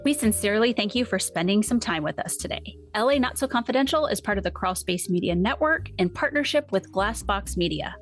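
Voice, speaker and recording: A woman's voice: medium pitch, animated, a little fast, few pauses, faint music behind. A woman co-hosting a podcast in a casual, candid and wry way. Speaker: podcast co-host. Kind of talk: casual podcast discussion of true crime.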